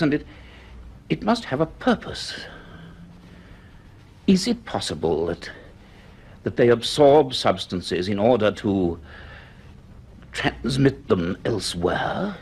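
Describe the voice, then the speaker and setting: Low-voiced, grave professor. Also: male voice, darkish tone, husky, heavily acted. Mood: wondering, alarmed. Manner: measured, deliberate, dramatic delivery. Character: grave, cultured male professor narrating his dreadful discovery. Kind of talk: vintage British radio drama acting.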